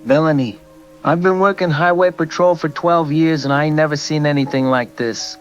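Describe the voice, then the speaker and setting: An actor playing a rattled veteran highway patrol officer, plain-spoken and folksy, interviewed by phone in an audio drama. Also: male voice, steady tone, normal speed. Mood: disbelieving. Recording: muffled phone line, music behind.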